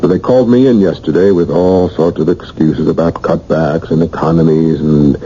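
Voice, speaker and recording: An actor playing a frustrated, straying husband in a 1980s radio drama, sardonic and impassioned. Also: male voice, a bass voice, steady tone, moderate pace, warm tone. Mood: dejected. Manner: sardonic, exasperated. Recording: muffled, noisy old radio broadcast.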